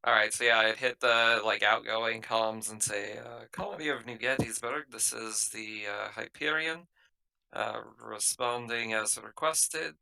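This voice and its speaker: A man's voice: on the low side, steady tone, measured pace, creaky, pushed and bright, poor audio. A man talking casually as a player in a tabletop roleplaying game.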